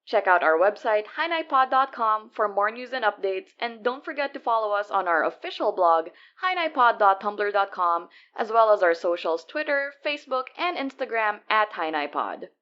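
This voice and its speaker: A woman's voice: medium pitch, sing-song, moderate pace, few pauses, muffled recording. A woman reading a scripted podcast outro as co-producer, with credits and announcements for listeners.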